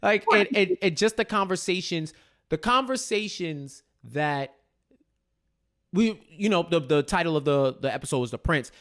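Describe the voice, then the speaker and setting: A man with a somewhat high-pitched voice chats as co-host of a TV recap. His speech is casual and slangy. He repeats phrases while forming a thought and often tags his points with a quick check-in. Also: animated, a bit bright. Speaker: podcast co-host.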